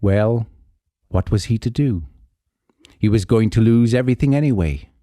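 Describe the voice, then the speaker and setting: A man narrating a folk tale as a storyteller, measured and theatrical, with deliberate pauses. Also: lowish voice, warm tone, dry recording, studio quality. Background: music under the voice.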